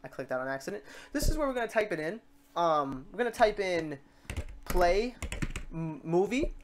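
A tutorial host talks casually through software steps, thinking aloud and correcting themselves along the way. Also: medium pitch, very animated, normal speed, noisy background. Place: voice-over on a screen recording.

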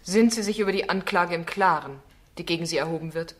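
An actress playing a formal, composed defence barrister in a radio play, questioning in a measured manner. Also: female voice, lowish voice, natural voice. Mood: composed, probing.

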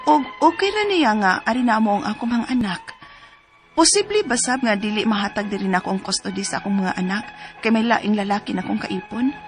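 A woman's voice: medium pitch, a little fast, close to an everyday voice. A woman with a Cebuano accent acting an anguished battered mother who pines for her children in a radio drama. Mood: pleading. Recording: background music under the voice.

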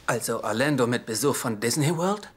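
A man's voice: mid-range voice, expressive, fairly quick, lightly acted. An actor dubbing a nervous, lovestruck young single man who rambles awkwardly in a German sitcom dub. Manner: awkward, rambling, self-mocking.

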